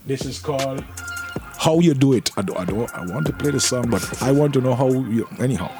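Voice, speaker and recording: A man, hosting a casual radio interview, joking and lively. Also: medium pitch, brisk. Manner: lively, joking, Caribbean creole phrasing. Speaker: radio host. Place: radio studio. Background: faint music.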